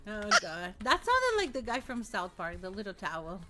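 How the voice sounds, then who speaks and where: A woman's voice: medium pitch, wildly swooping pitch, moderate pace, sharp tone, faint music behind. A woman chatting with friends on a voice call, blunt and teasing. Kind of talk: casual chat.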